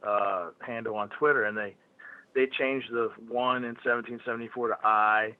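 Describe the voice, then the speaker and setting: A man's voice: medium pitch, steady tone, normal speed, no room sound. A man with a Philadelphia accent, talking bluntly and profanely on a phone call. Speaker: phone guest. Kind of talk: casual phone conversation. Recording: tinny phone line.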